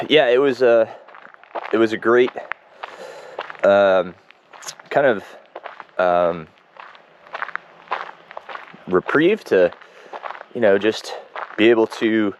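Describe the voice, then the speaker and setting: Congested man, halting video diary. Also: fairly low pitch, a little hiss or hum. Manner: halting, many ums and restarts, reflective. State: congested, froggy voice. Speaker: running vlogger. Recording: dull lo-fi home recording.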